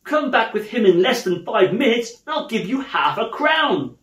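An elderly storybook gentleman, acted by a man, bursting with hearty glee and exclaiming emphatically. His voice rides high and swings widely in pitch. The room adds a clear echo. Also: very animated, moderate pace. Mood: gleeful, excited. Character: old miser reborn, giddy, hearty, excitable gentleman.

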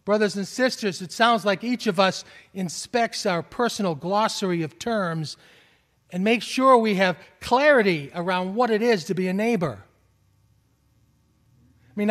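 A middle-aged man with a Boston accent, a pastor preaching a sermon from the pulpit, earnest, with rising calls and soft drops. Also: sits high. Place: large church sanctuary. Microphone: stand mic at pulpit, far camera. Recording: long church reverb.

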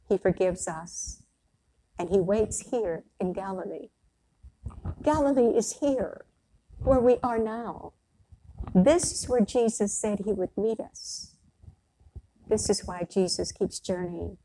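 An older woman, a priest, delivers a prepared sermon in measured, deliberate phrases with pauses. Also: medium pitch, dark tone, slight background noise, poor audio. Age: older, around 60s. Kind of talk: sermon, read from a prepared text. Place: church sanctuary, at the pulpit.

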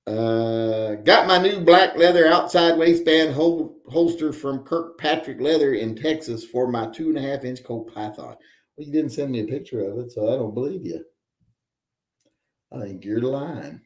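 A man's voice: medium pitch, expressive, normal speed, reverberant. A man in his forties hosting a live stream from home, reading viewer chat and rambling with wry joking asides. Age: 40s. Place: home room with guns on the wall.

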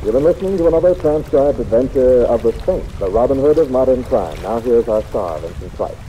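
Animated male old-time radio announcer. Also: mid-range voice, fairly quick, noisy background. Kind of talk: 1950s American radio announcing. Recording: vintage radio recording, music, water sounds.

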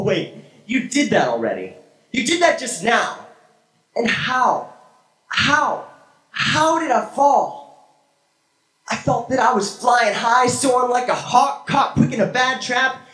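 A young man performing spoken word poetry on a small church stage with a handheld mic, dramatic and swinging from hushed to shouted. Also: extremely high pitch, expressive, unhurried. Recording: echoey room from a far camera.

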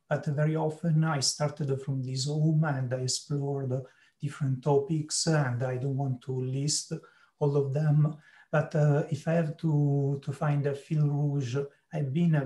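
A man with a Sicilian Italian accent giving a keynote lecture over a video call, speaking in an unhurried, halting way with many fillers. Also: mid-range voice, a little mellow, roomy sound. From Italy, Sicily. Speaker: keynote speaker, marketing professor.

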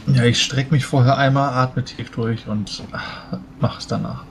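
A man's voice: mid-range voice, steady tone, a little slow, vocal fry, background noise. A man describing his character's actions as a player in a tabletop roleplaying game. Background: soft music.

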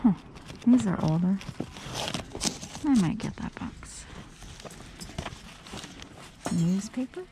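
A woman's voice: medium pitch, animated, slow, pauses often, noisy background. A woman touring an old house at an auction, chatting to her camera as a vintage paper reseller, gushing over finds and sometimes whispering. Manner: chatty, gushing exclamations, some whispered reading. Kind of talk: casual walk-through vlog. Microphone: body-worn action camera.

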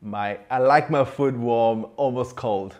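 A man's voice: mid-range voice, slow pace, darkish tone. Man presenting a sermon. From Tanzania. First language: Swahili. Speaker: church leader. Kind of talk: presenting a sermon segment to camera. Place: studio set.

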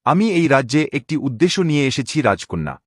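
A man plays a young prince in disguise in a cartoon drama, with a mid-pitched voice in a dry recording. He speaks gravely and formally, respectful yet weighed down. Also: very animated, fast, no room sound. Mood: confessing, resolute. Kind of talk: dramatic Bengali animated story dialogue.

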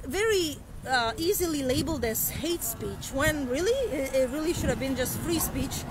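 A woman's voice: high voice, normal speed. A woman in her forties, a native Romanian speaker, talking earnestly into a phone held at arm's length as she walks outdoors, rambling with many restarts. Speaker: vlogger. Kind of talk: casual livestream talk. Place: outdoors by a street, walking. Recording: loud street noise.

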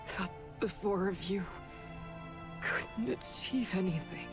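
A woman playing a grave, commanding warrior superheroine in a game cutscene, solemn and hushed. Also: medium pitch, slow. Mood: grave, challenging. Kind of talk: video game cutscene acting. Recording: quiet game audio under music. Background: game score.

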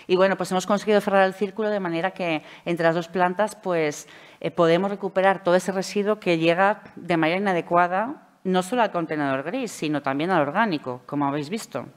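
A middle-aged woman with a Valencian Spanish accent giving a conference presentation from a table mic, measured and explanatory with frequent hesitation fillers. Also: fairly low pitch. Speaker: speaker at a public administration conference. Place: conference hall, seated at a table. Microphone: table microphone.

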